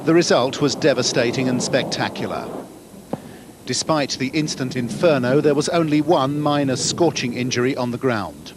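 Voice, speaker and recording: A man's voice: mid-range voice, moderate pace. A British man narrating a scripted television news report as a reporter. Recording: archive TV report, noisy outdoor ambience.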